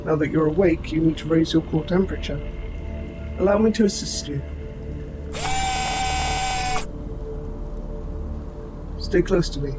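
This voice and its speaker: A man playing a gentle, protective robot soldier in an audio roleplay, speaking to the listener in formal, precise, measured wording. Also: fairly high pitch, lightly acted. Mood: attentive, formal. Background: music.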